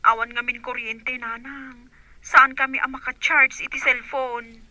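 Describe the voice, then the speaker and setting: A woman's voice: high voice, flat delivery, a little slow, pushed and bright, no echo, exaggerated voice. A woman playing a distressed grown daughter in a radio drama, with an Ilocano accent. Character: distressed grown daughter calling her mother from abroad.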